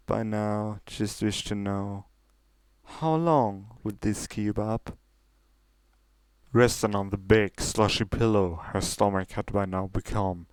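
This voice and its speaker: A man narrates a scripted story in a fairly low voice. The tone is very smooth and clear, and the sound is close and dry, suited to dramatic fiction. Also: no room sound. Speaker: story reader.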